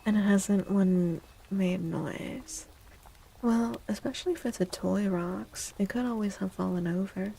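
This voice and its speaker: A woman host speaking softly and gently in a livestream ASMR style, breathy and often slipping into a whisper, drawing out her words. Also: mid-range voice, slight background noise, rough recording. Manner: slow, gentle, drawn-out words. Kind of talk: soft-spoken ASMR livestream chat.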